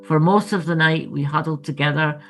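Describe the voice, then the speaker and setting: A woman's voice: deep voice, normal speed, over music. A woman author reading her own book aloud as scripted memoir narration, with ambient sound behind her.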